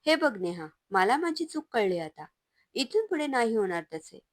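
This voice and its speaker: Woman voicing an angry loud husband. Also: a little high, very animated, moderate pace, no echo, studio quality, voice pushed up. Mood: placating. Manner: shouting, scornful, loud. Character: angry domineering husband, a man.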